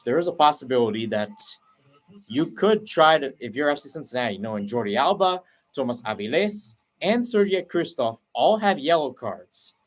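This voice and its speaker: A man doing radio play-by-play for a soccer match, talking steadily through the passing and breaking off to explain tactics, then jumping up in pitch when a chance opens. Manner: brisk flowing call, rising sharply on chances; self-correcting, explains tactics between plays. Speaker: play-by-play commentator.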